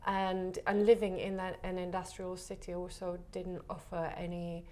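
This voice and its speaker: A woman from the Republic, a photographer guest talking in a relaxed interview, soft and hesitant with many pauses. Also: on the low side. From Czech Republic.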